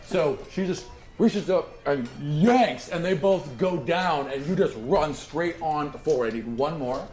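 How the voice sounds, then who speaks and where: Male game master, slightly high-pitched, loose profane improvised narration for a tabletop game; roomy echo, faint music. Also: expressive, measured pace, darkish tone. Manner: casual, sweary, improvised narration. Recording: echoey room with music behind.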